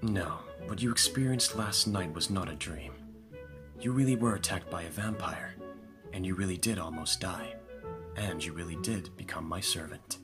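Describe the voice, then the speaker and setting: A man playing a composed vampire lord in an audio roleplay, speaking formally and with calm authority to a servant. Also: low-pitched, normal speed. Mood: calm, teasing. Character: lordly young-sounding male vampire master, calm and commanding. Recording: music behind the voice. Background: music.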